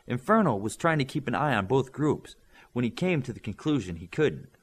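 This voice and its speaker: A man's voice: medium pitch, fairly quick, slightly rough. A man reading a novel aloud as audiobook narrator, voicing the characters. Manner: expressive, varied voices. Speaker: audiobook reader.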